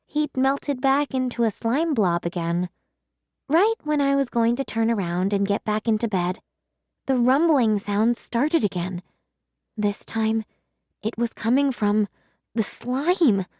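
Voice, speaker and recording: A woman reading a children's story aloud with measured, dramatic storytelling. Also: fairly high pitch, expressive, darkish tone, no echo, clean studio sound. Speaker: children's audiobook reader.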